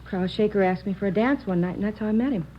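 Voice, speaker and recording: On a muffled old radio recording, an actress plays a young American office girl. She is polite and earnest but guarded, defending herself plainly in a mid-pitched voice, in 1950s crime drama style. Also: fast talker, slight background noise, lightly acted. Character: guarded, earnest young female office worker under questioning.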